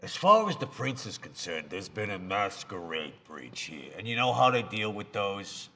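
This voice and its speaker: A man's voice: lowish voice, big pitch swings, moderate pace, bright, forceful tone. A man playing a tabletop game live, with casual in-character banter. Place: large convention room, live show. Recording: live show in reverberant hall.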